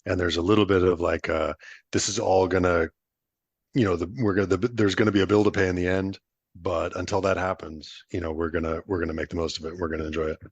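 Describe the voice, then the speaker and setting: A man's voice: deep voice, flat delivery, fast talker, breathy edge, no echo, dull audio. A man speaking as a podcast guest in an informal, self-deprecating, sweary way. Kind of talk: casual interview chat.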